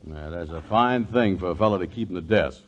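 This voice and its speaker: A man playing a gruff, slow-witted sidekick in a 1940s radio comedy, grumbling bluntly in ungrammatical speech. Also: sits low. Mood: doubtful. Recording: muffled, hissy 1940s radio recording.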